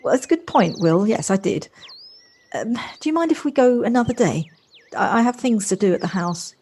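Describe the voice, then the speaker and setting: High-voiced, wistful woman played by an actress. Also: animated, moderate pace, darkish tone, husky. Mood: cheerful, polite. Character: Josie, wistful, polite, effusive woman rediscovering childlike freedom. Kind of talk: amateur audio drama acting. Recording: faint noise, home-recorded on personal device.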